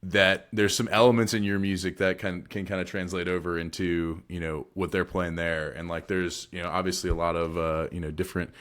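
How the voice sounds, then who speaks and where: A man's voice: sits low, flat delivery, brisk, hardly any pauses, fairly bright tone. A man hosting a podcast interview, asking long, rambling questions full of "you know". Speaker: podcast host. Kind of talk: casual interview.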